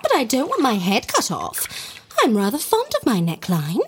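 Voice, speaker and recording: A woman's voice: a little high, wildly swooping pitch, moderate pace, slight background noise. An actress playing an imperious, playful and callous young queen of ancient Egypt in an audio drama. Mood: haughty, playful. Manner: haughty, teasing.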